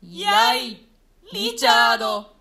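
A woman's voice: high voice, very animated, slow pace, gravelly, sharp tone, heavily acted. A woman voicing the ghosts of two murdered young princes in a radio play reading, in a raised, chanting voice. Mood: vengeful.

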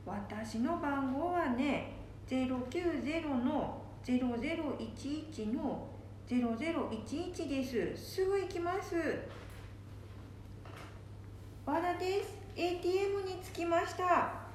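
In a very echoey room, a woman plays a high-voiced elderly grandmother from a radio play. She speaks in Nambu dialect, trusting and pleased with herself. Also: a little slow, darkish tone. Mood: polite, trusting. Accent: Nambu dialect of northern Japan. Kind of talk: amateur radio drama acting. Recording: echoey room with faint background noise.

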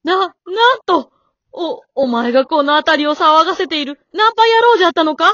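A woman's voice: high voice, brisk, fairly bright tone, no room sound, dull audio. A woman playing a doting, mischievous old grandmother in an amateur radio drama, talking in an old-folk manner. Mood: dramatic, amused. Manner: old-folk drawl with hearty chuckles.

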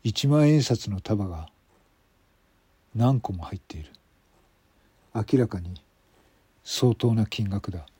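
男性がラジオドラマの台本を、間を取りながら語るナレーション。. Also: male voice, on the low side, animated, takes it slowly, pauses often, mellow, slightly rough, no room sound. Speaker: narrator. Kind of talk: scripted radio drama narration.

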